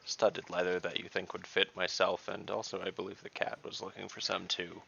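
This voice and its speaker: A man with a fairly low, creaky voice chats casually as a tabletop game player. The online call audio is slightly dull. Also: level tone, moderate pace, pushed and bright, a little hiss or hum.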